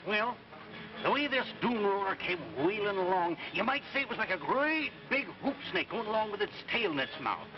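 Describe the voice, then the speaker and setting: A man's voice: high-pitched, very animated, normal speed. An actor playing a folksy, stubborn old islander in an American 1950s radio drama, drawling out his tales. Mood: spooky relish. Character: folksy old island yarn-spinner, stubborn but good-natured. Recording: muffled, noisy old radio recording.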